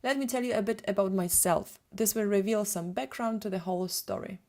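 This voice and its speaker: A woman in her thirties with a Polish accent, a photographer presenting a prepared webinar in a steady, read-from-notes way. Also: medium pitch, a little fast. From Poland.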